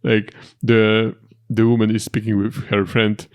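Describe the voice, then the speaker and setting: Young Polish-accented man, lowish voice, halting delivery with repeated words, dry humor; reaction co-host. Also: level tone, smooth. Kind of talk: casual chat, joke telling.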